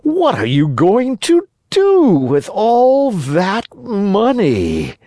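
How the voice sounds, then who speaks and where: A man's voice: high voice, wildly swooping pitch, mellow, dry recording, clean studio sound, voice pushed up, full character voice. A man voicing a sly, falsely courteous fox in a storybook, drawing his words out in a wheedling way. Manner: slow, drawn-out, wheedling.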